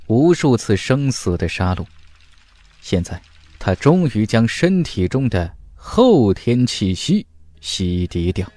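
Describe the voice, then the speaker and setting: A man narrating a fantasy audiobook in a dramatic scripted style, with emphatic, deliberate delivery. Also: on the low side, darkish tone, no echo.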